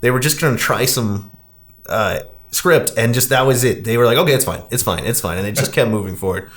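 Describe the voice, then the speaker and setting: A man's voice: mid-range voice, a little fast, a bit bright. A man hosting a podcast, casually recapping a film in a wry, critical, conversational way.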